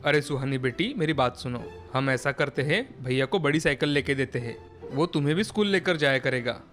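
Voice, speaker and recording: Man playing an agreeable family man, medium-pitched voice. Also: expressive, a little fast, a bit bright, soft background music, natural voice. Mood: patient, fatherly. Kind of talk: cartoon story dialogue.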